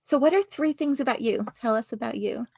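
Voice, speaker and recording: A woman's voice: fairly high pitch, fairly quick, vocal fry, a little mellow, no room sound, clean studio sound. A woman hosting a casual live chat, talking chattily about herself and laughing at her own stories. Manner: chatty, self-deprecating, laughs often. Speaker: live stream host.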